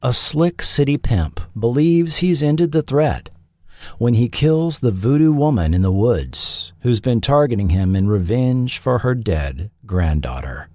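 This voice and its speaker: Guy narrating tv history like a podcast host, slow and deliberate, pitch moves around a lot. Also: lively intonation, darkish tone, dry recording, studio quality.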